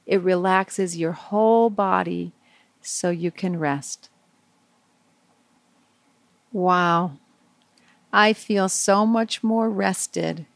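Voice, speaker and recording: A woman leading a guided visualization for young children, speaking in simple, soothing phrases with gentle pauses. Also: on the low side, vocal fry, no echo.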